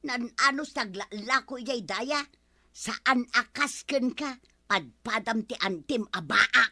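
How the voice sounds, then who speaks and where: A woman with an Ilocano accent playing a scheming, complaining village housewife in a comedy radio drama, expressive and grumbling. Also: a little high, takes it slowly, dry recording, clean studio sound, heavily acted. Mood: indignant.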